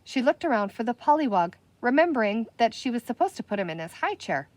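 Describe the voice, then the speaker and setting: A woman narrating a children's storybook in an expressive, scripted read-aloud style. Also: on the high side, quick pace, dry recording. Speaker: storybook reader.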